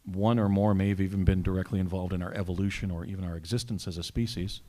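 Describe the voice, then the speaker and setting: Very deep-voiced man on a panel. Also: fairly even intonation, fast, warm tone. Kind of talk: answering an audience question on a panel.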